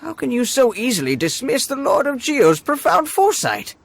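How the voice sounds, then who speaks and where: A righteous, quarrelsome believer from a video game. The high male voice is gravelly and very expressive, declaiming each challenge. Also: moderate pace, full character voice. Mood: challenging, righteous. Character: devout, argumentative man defending his god. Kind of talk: scripted video game dialogue.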